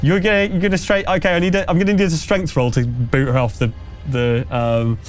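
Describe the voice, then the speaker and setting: A man's voice: on the high side, little pitch movement, brisk. A man narrating a tabletop horror game as game master, talking players through rules and outcomes in a conversational way. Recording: faint music underneath. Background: music.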